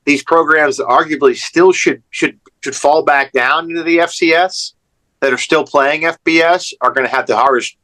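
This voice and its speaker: A man's voice: medium pitch, sharp tone, poor audio. A man on a sports podcast panel, chatting casually in a blunt, conversational way. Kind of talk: casual chat.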